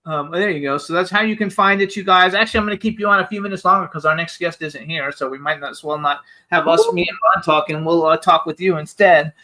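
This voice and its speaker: A man hosting a live celebrity interview show, talking in a chatty, rambling, excitable way. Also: a little high, fast talker, hardly any pauses. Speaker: talk show host.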